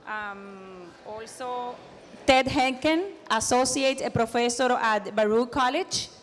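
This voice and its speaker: Woman, cuban spanish accent, panel moderator, hesitant with fillers, higher voice, reverberant hall. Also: slow. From Cuba.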